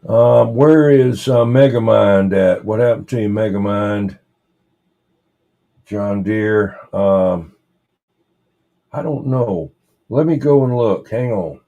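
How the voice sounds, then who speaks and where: A man's voice: lowish voice, takes it slowly, long pauses, mellow, dull audio. A man hosting a casual, rambling livestream, muttering to himself in a folksy way as he talks. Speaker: livestream host showing vintage radios.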